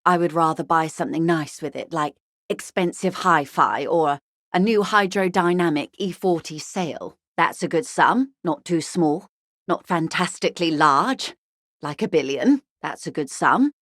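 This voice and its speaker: An actress playing a wealthy corporate negotiator in a video game, refined and polished, speaking with urbane, wryly courteous, measured irony. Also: female voice, lowish voice, fairly bright tone, no echo, studio quality. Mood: wry, composed. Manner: urbane, measured, dryly ironic. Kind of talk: scripted game dialogue, conversational.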